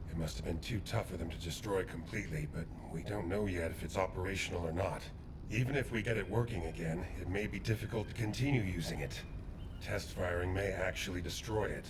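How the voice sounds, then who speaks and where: A man voicing a steady, courteous soldier in a video game, serious and measured as he briefs. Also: low-pitched, natural voice. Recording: noisy game ambience behind the voice.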